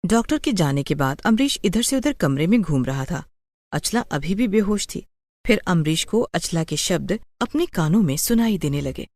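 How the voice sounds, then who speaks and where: A woman's voice: fairly low pitch, expressive, normal speed, a little mellow, no room sound. A woman narrating a scripted bedtime moral story.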